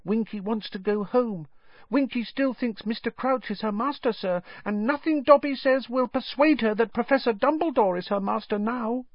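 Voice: mid-range voice, moderate pace, no echo, highs cut off, pitched above the performer's own voice, close to an everyday voice. A performer voicing a small, devoted male house elf servant, anxious and deferential, in a storybook reading. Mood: sad. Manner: deferential, whispering, third-person speech.

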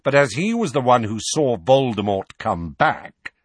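A man's voice: medium pitch, very animated, measured pace, slightly rough, dry recording, voice pushed up. A man voicing a defiant, cool-headed adult godfather in an audiobook, firm, sardonic and challenging. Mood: calm, challenging. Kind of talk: audiobook character voice.

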